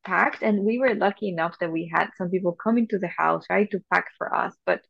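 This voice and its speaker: A woman from Venezuela in her thirties chats casually on a podcast, with a gravelly, fry-tinged voice. She rambles with fillers and restarts, recorded dry and a little dull. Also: on the low side, a little fast, no echo. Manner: chatty, many fillers and restarts. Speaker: podcast co-host. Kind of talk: casual chat.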